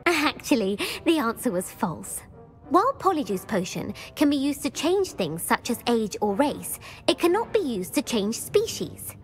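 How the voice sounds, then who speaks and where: A woman's voice: a little high, very animated, normal speed, faint music behind. A young woman voicing a bookish student in a video game who eagerly lectures and quizzes others. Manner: eager and lecturing, bursts of praise.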